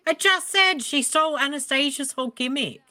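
A woman co-hosting a podcast in casual comedic banter, blunt and quick to swear. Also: high-pitched, bright, forceful tone. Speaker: podcast co-host.

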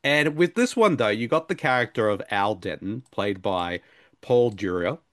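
A man's voice: lowish voice, lively intonation, moderate pace, fairly bright tone, no room sound. A man chatting casually as a podcast co-host in a jokey, rambling way. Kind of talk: casual discussion chat.

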